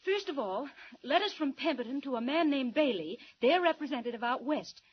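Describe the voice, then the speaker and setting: A woman's voice: high voice, expressive, a little fast. An actress with an American accent playing an earnest, resolute young woman in a 1940s radio drama, speaking precisely. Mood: earnest, intent. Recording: muffled 1940s radio recording.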